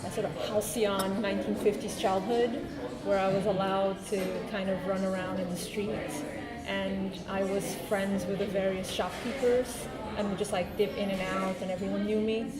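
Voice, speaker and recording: A woman's voice: mid-range voice, flat delivery, normal speed, a loud crowd. An older woman from Portugal tells a thoughtful, wry story about her past in an interview. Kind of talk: reflective personal storytelling. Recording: echoey room with loud music over.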